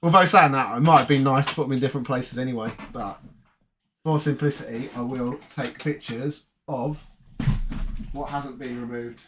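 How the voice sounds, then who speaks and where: A British man with a tired, raspy voice, chatting casually on a live stream and reading viewer comments aloud. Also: mid-range voice, normal speed, noisy background. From Britain. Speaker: retro gaming streamer.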